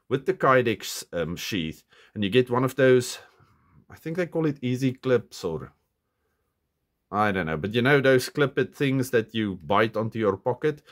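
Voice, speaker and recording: Stuffy nasal guy with a lowish voice, rambly knife review at his desk, lots of okays. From South Africa. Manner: chatty, self-correcting, lots of "okay" and "um". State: stuffy nose from a cold. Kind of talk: casual hands-on product review. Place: indoors at a desk. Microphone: camera on the desk, hands-only framing.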